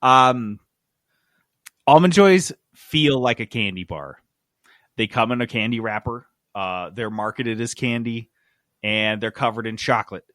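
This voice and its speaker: A man's voice: mid-range voice, unhurried, a bit bright, no echo, studio quality. A man hosting a casual podcast chat, talking informally and jokily with plenty of slang. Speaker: podcast host. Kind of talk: casual chat about games and candy.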